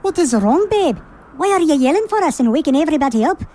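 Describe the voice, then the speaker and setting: A woman's voice: high voice, big pitch swings, quick pace, mellow. A woman voicing an anxious, bewildered adult squirrel in a children's radio drama. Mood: alarmed. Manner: anxious, questioning.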